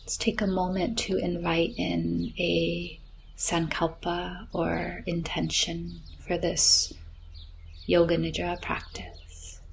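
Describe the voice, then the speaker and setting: Woman, meditation guide, soothing and breathy, very even narrow intonation, unhurried gentle delivery, soft birdsong in the background. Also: on the low side, a little hiss or hum. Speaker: yoga nidra meditation guide. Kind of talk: slow guided relaxation meditation. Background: chirping birds, nature ambience.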